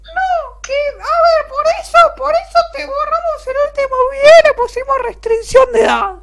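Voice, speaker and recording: A young man voicing an effeminate, fussy man in a squeaky falsetto. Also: normal speed, very noisy. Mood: officious.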